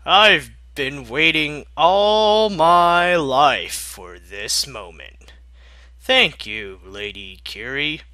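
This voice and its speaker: Man reading as a keen young lad, polite, earnest, excited, bright forceful tone, comedic fantasy story. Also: on the high side, extremely slow, no echo, full character voice. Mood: excited, grateful. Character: eager, polite young male mechanic.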